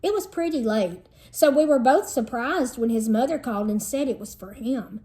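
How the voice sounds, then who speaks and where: A woman's voice: on the high side, normal speed, mellow. A woman teacher reading a children's storybook aloud in an explanatory manner meant for young listeners.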